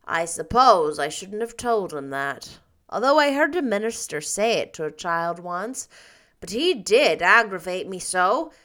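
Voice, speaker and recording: A woman voicing a stern, practical older guardian who speaks in a dry, measured way. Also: mid-range voice, very animated, fairly bright tone. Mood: grim. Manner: dry, measured, a little grim.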